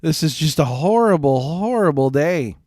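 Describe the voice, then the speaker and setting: A man's voice: on the high side, lively intonation, moderate pace, a little mellow, no room sound, clean studio sound. A man with a cold and a stuffy, congested nose, hosting a live gaming stream in a rambling, apologetic way. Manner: rambling and apologetic, many fillers and restarts. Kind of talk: casual live-stream chat. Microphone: home streaming mic.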